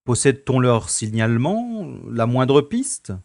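A man's voice: medium pitch, sing-song, a little slow, darkish tone. A man acting a dogged, theatrical space detective in a French audio drama, with a dramatic, questioning manner. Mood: insistent, suspicious. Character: dogged, theatrical male space special agent.

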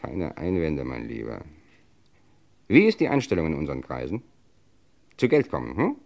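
An actor playing a cold, menacing gangster boss with a suave, domineering manner, in an old German crime radio play. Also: male voice, a bass voice, huge pitch swings, moderate pace, gravelly, a little mellow, exaggerated voice. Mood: quietly menacing.

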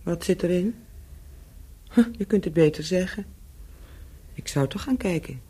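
An actress playing a wary, worldly, curious Frenchwoman in a radio play, speaking in a slow, guarded, laconic way with a husky voice. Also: lowish voice, lively intonation, dark tone, full character voice.

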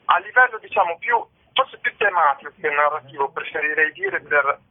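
A man's voice: a little high, lively intonation. Man on a tinny phone line. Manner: halting, thoughtful, searching for words. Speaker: theatre company co-founder being interviewed. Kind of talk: spoken interview, explaining stage works.

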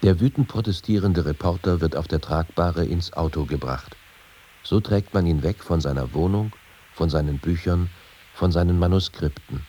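A man reading literary accounts in a deliberate, scripted narrative style. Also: low-pitched, dark tone, breathy edge. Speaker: reader of quoted accounts.

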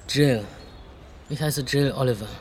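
A man narrating in the first person in a radio drama, rough and weary, with coarse language. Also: mid-range voice, unhurried, a little hiss or hum. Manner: rough, weary inner monologue with coarse words. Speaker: narrator and lead character.

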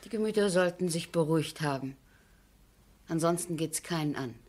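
An actress playing a composed, gentle but worried aristocratic aunt in a 1970s German radio play, restrained and genteel. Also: female voice, low voice, normal speed.

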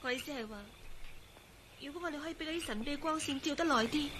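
Actress as high-voiced, eerily composed doomed woman. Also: quick pace, background noise, natural voice. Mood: bitter, insistent. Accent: Hong Kong Cantonese. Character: eerily composed, resigned young woman turned invisible skeleton. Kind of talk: Cantonese radio drama acting.

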